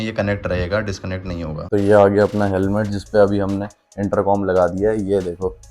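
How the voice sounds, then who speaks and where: A man giving a casual hands-on product demonstration, chatty and informal, talking straight to viewers like a vlogger. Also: on the low side. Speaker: motorcycle vlogger and product reviewer.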